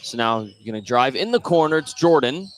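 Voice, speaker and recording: Male American play-by-play announcer for live high school hoops radio, mid-range voice, faint gym noise behind him. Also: lively intonation, a little fast, a bit bright. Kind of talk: live basketball radio commentary. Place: indoor high school gymnasium. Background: faint gym ambience.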